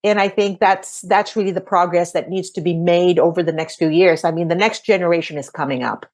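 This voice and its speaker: A woman's voice: on the low side, fairly even intonation, a little fast, a little mellow. A woman in her sixties hosting a podcast, answering questions in a thoughtful, earnest and encouraging way. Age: 60s. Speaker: podcast host.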